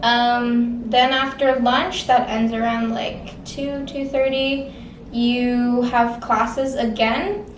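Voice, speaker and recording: A woman's voice: on the high side, flat delivery, slow, echoey room, recorded from a distance, slight background noise, rough recording. A sixteen-year-old girl from the Czech Republic, an exchange student answering interview questions casually with lots of "like" and "um". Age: teens. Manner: casual, many fillers like "like" and "um".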